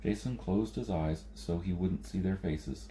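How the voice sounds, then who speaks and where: A man's voice: low voice, a little slow, dark tone, slight background noise, rough recording. A man narrating a science fiction novel as an audiobook reader.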